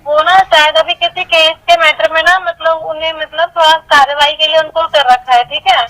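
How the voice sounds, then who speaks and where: A woman speaks on a recorded telephone call in a high, bright, somewhat piercing voice. She talks informally, hesitating and circling back over her phrases. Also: steady tone, moderate pace. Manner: hesitant, repetitive, evasive. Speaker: colleague of a missing woman, on a phone call. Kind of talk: informal phone conversation.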